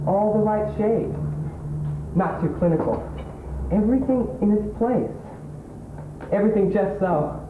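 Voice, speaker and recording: A man with an English accent playing a scruffy, earnest, smugly analytical suitor on an amateur stage, repeating words haltingly as he explains. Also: sits high, animated. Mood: fussy, confiding. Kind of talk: amateur stage play acting. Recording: tinny old tape, roomy, background hiss.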